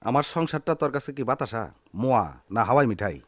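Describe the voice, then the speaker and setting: An actor playing a bullying, aggrieved village husband with a rural Bangladeshi accent, scolding and taunting in a radio drama style. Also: male voice, medium pitch, lively intonation, fast talker, a bit bright, no echo, lightly acted. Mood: wounded, angry. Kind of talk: Bengali radio drama acting.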